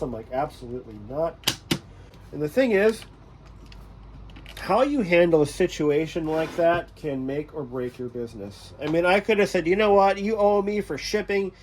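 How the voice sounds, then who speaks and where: A man's voice: fairly high pitch, darkish tone, slight background noise, rough recording. A man working as a repairman and hosting his own vlog, talking casually and thinking aloud as he works. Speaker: radio repairman and video host.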